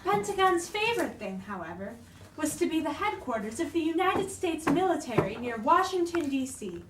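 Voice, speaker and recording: Female storyteller, high voice, theatrical delivery; roomy, noisy recording. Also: normal speed. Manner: expressive, theatrical. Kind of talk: dramatic storybook read-aloud. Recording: roomy, distant microphone with clear noise.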